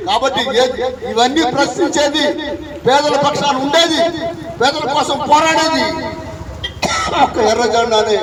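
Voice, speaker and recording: Guy doing a fired up political speech, really high voice, crowd around, mic far off. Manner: emphatic, repetitive, rhetorical questioning. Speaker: political party district leader. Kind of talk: impassioned outdoor political speech. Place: outdoors at a village gathering. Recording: distant outdoor mic with crowd noise.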